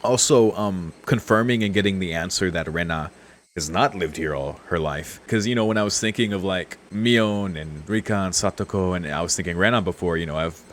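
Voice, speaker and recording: A man's voice: low-pitched, brisk, fairly bright tone, a little hiss or hum. A man streaming a visual novel, mixing read-aloud narration with casual commentary. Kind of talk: casual let's-play commentary and reading aloud.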